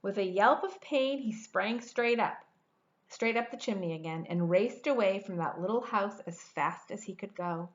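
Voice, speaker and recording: A woman's voice: medium pitch, moderate pace, muffled recording, slight background noise. A woman in her forties reading a children's storybook aloud expressively, stretching words for dramatic emphasis. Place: indoor room at home.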